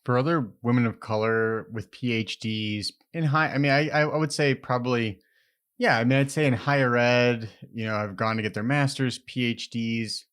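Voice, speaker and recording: A man's voice: mid-range voice, fairly even intonation, normal speed, a bit bright, smooth. Male podcast host, middle-range voice, conversational delivery for introductions and interview questions.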